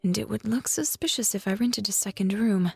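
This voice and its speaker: A woman's voice: medium pitch, fairly quick, vocal fry, a little mellow, breathy edge, dry recording, soft background music. A woman playing a feisty, snappy young bard in an audio drama, exasperated and indignant. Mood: stubborn.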